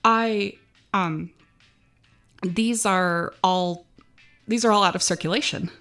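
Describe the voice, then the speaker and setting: A woman's voice: mid-range voice, fairly even intonation, slow, fairly bright tone, faint music behind. A woman playing an uneasy, wry young female cashier, narrating an audio drama in a wary, conversational first person. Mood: nervous stammer.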